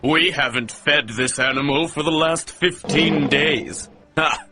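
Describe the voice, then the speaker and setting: A man's voice: a little high, fairly even intonation, unhurried, bright, forceful tone. A man voicing a harsh, gloating figure of authority in a children's cartoon, barking and imperious. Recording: music underneath.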